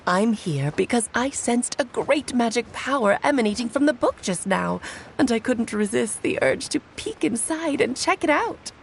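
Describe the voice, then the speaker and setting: A woman's voice: on the high side, big pitch swings, moderate pace, creaky, a little hiss or hum. A woman voicing a playful, chatty, self-assured goddess in a video game, breezy and theatrical. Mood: breezy, explanatory.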